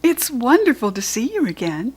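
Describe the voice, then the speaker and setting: A woman's voice: a little high, big pitch swings, moderate pace, a little mellow, breathy edge. A woman with an American accent voicing an eager, curious young girl in a children's story reading.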